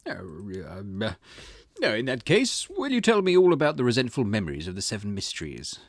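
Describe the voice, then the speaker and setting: An eager junior detective from a visual novel , acted out by a man, earnest and full of questions. The voice is lively in pitch and deferential, recorded close and dry. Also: a little fast, no echo, full character voice. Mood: hesitant, earnest. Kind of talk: reading visual novel dialogue in character.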